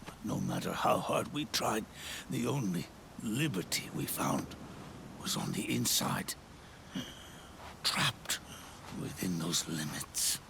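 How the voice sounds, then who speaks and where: Dying, gravelly old soldier. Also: male voice, on the low side, animated, background noise, exaggerated voice. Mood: bitter reflection. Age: older. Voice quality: gravelly, breathy rasp. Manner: slow, halting, solemn, with long pauses. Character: dying old male soldier, weary, solemn, at peace. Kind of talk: dramatic video game cutscene monologue. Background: orchestral music.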